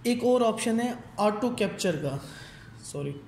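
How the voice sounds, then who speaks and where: A man's voice: high voice, expressive, unhurried, creaky, a little mellow. A man giving a casual step-by-step technical tutorial, mixing in English terms and often checking in as he instructs. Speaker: technical trainer. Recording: echoey room with faint background noise.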